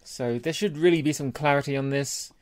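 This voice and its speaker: A man's voice: fairly high pitch, fairly quick, no room sound. A man co-hosting a podcast in casual, conversational chat. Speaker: podcast co-host.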